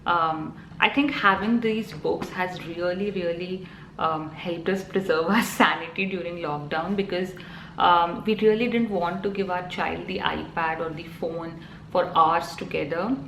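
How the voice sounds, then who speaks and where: A woman in her thirties with an Indian accent talks casually to camera, filling pauses with ums and you-knows. Her voice sits a little low. The room is echoey, with a faint hum of ambience behind her. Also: fairly even intonation, fairly bright tone. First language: Telugu. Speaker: parenting vlogger.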